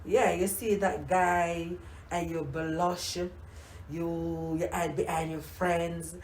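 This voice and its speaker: A woman's voice: on the low side, flat delivery, takes it slowly, roomy sound, a little hiss or hum. An older grandmother from Jamaica with a Jamaican accent, reminiscing in a casual interview and often repeating herself. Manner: warm reminiscing, repeats words, many "you know"s. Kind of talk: casual oral history interview.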